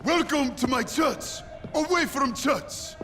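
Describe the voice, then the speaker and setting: A man's voice: extremely high pitch, expressive, slow pace, sharp tone, heavily acted. A man playing a zealous, eccentric gun-dealing preacher in a video game, theatrical and grandly friendly as he sermonizes. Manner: theatrical, sermonizing, savoring words. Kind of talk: video game cutscene acting. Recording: long cavernous reverb, faint music.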